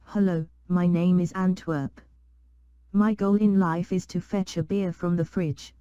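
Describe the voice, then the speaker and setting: Clear synthetic female robot assistant voice. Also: on the low side, steady tone, a little slow, mellow, no echo, highs cut off. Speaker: robot's text-to-speech voice responding to commands. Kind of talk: synthetic voice responses.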